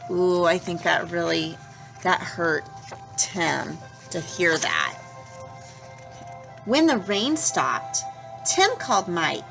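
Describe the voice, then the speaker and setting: A woman teacher reading a children's picture book aloud, expressive and stopping to ask young listeners questions. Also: on the low side, gravelly, poor audio. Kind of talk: children's storybook read-aloud.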